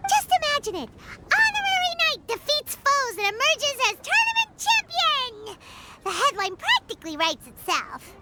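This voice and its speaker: A woman voicing a tiny, excitable, childlike female fairy companion in a video game, squeaky and exclamatory. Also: moderate pace, very noisy. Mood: eager. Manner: speaks of herself in third person, exclamatory. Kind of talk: video game character acting. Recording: music behind the voice.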